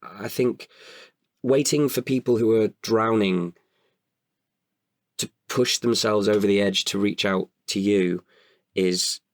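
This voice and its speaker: A man with a creaky voice in a candid podcast conversation, speaking hesitantly and often correcting himself. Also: fairly low pitch, no echo. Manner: hesitant, halting with self-corrections. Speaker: writer-performer guest.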